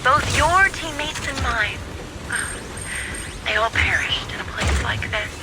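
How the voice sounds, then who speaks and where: A woman's voice: a little high, animated, slow pace, very noisy. An actress playing a sadistic, gloating female sniper villain in a video game, mocking and theatrical in her menace. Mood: menacing, mocking. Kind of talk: English game voice acting. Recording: loud music behind the voice. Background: loud game music.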